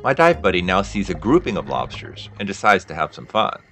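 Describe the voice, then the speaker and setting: A low-pitched man narrates a dive film in scripted documentary style. His voice is bright and forceful with animated intonation, explaining things in measured sentences over music. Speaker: narrator, scuba diver.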